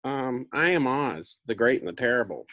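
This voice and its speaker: A man's voice: medium pitch, animated, moderate pace, dry recording, highs cut off, natural voice. An American man voicing a little old humbug wizard, timid and evasive, in a storybook read-aloud. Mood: sheepish.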